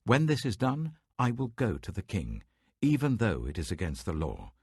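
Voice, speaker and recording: A man giving a measured, dramatic reading of Bible passages in a close studio recording. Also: slightly rough, no room sound. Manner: measured, dramatic, lively pitch shifts. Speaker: Bible reader. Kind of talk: expressive scripture reading.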